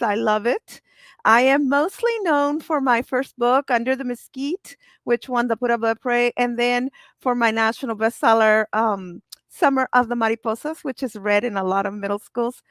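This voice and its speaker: A woman's voice: fairly high pitch, fairly bright tone, no room sound. A woman from Mexico, introducing herself as an author on a panel in an upbeat way. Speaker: author guest.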